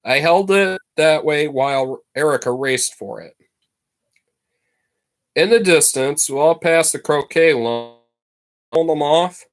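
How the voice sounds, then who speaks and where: A man narrates a storybook like a teacher reading to a class. His delivery is expressive and dramatic, leaning deliberately on key words. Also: on the high side. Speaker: teacher reading a book aloud to students.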